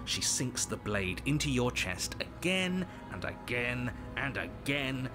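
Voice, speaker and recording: A man voicing a dry, exasperated game narrator who talks in a sardonic, deliberate way. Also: medium pitch, big pitch swings, music in the background. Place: game audio over background music.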